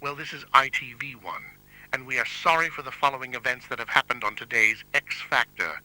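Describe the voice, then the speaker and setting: Solemn male continuity announcer, played by an amateur actor, formal and measured, very bright, forceful tone. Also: mid-range voice, poor audio. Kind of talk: scripted broadcast announcement.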